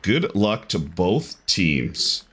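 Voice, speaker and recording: A man's voice: low-pitched, big pitch swings, drawn-out words, a bit bright, slightly rough. A man hosting and commentating a live online golf game tournament in upbeat play-by-play.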